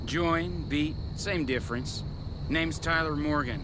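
A driven, cocky crew leader, clipped and sure of himself in a game cutscene. His voice is on the high side, with loud race cars behind it. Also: takes it slowly. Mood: defiant. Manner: terse, self-assured. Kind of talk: video game cutscene acting. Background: race car noise.

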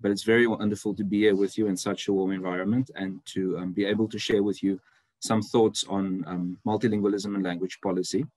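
A man in his thirties from South Africa, first language Afrikaans, speaks with a fairly low voice. He talks friendly and chatty, filling pauses with ums. The sound is dry and close, from a laptop on a video call. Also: a little mellow, no echo. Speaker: university lecturer presenting. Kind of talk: online seminar presentation. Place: indoor room, video call.

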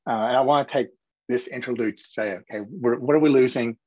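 A man's voice: mid-range voice, a little fast, a little mellow, no room sound. A man moderating a webinar panel, affirming and steering the discussion. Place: room indoors. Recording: dull lo-fi video call audio.

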